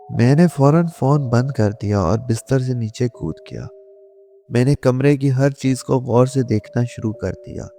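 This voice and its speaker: A man's voice: medium pitch, mellow. A man narrating a scripted scary story in the first person, in a suspenseful, dramatic storytelling manner. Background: faint music.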